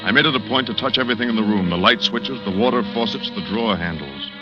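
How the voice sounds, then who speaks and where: An actor playing a cool, calculating schemer in a vintage radio suspense drama, speaking in a measured, clipped, deliberate way. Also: male voice, lowish voice, lively intonation, natural voice. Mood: coolly calculating. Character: cool, calculating male schemer planning to impersonate his double. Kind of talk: 1940s-1950s radio drama acting, first-person suspense narration. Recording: dull vintage radio recording with music.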